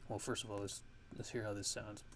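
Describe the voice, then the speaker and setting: A man giving a casual software tutorial, haltingly thinking aloud as he goes. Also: on the low side, level tone. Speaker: music production tutorial host. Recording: clear background noise.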